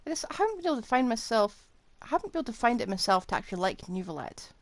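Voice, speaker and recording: Very animated woman game streamer. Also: on the high side, rapid-fire, no room sound. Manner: giggly, reactive. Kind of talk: casual live game commentary. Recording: game music behind.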